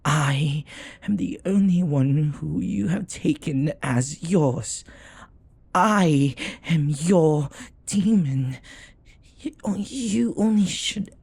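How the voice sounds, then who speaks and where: A jealous, devoted demon lover played by a man in an intimate audio roleplay. The voice is very husky and breathy with a gravelly creak, and it moves slowly and deliberately through intense, dramatic phrasing. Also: a little high, a little mellow, full character voice. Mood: jealous, insistent. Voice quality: husky, breathy, often dropping to a whisper. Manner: slow, deliberate, dramatic pauses.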